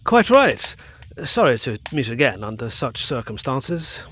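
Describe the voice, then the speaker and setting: A man's voice: mid-range voice, very animated, measured pace, fairly bright tone, slight background noise. A man playing an earnest, authoritative Edwardian physician in a gothic audio drama, with formal, emphatic diction. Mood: grave, assured.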